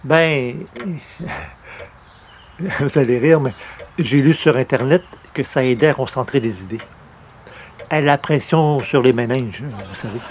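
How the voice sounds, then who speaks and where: A man's voice: mid-range voice, unhurried, long pauses, a little hiss or hum, close to an everyday voice. A man playing a grandiose, easily exasperated would-be novelist in theatrical old-time radio comedy style. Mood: musing. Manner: theatrical, pompous, emphatic. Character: grandiose, exasperated male newspaper columnist turned would-be novelist. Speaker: lead character of the comedy series.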